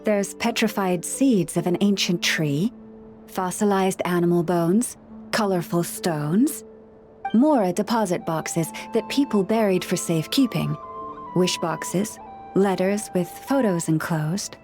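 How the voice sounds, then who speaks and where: A serene animal-speaking sage. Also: female voice, fairly low pitch, faint music behind. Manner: calm, measured, softly reflective. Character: gentle woman who speaks with animals, wise and serene. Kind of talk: video game voice acting.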